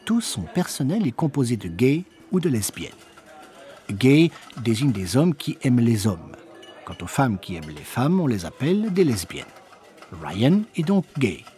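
A man's voice: medium pitch, sing-song, unhurried, dark tone. A man narrating a reportage from a prepared script. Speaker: documentary narrator.

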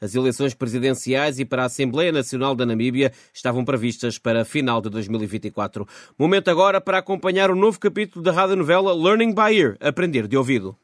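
A man's voice: mid-range voice, animated, quick pace, no room sound. A man presenting radio news in a studio. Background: music.

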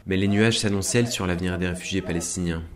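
Male reporter, low and quick, scripted news narration with a crowd behind. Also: fairly even intonation. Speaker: radio reporter.

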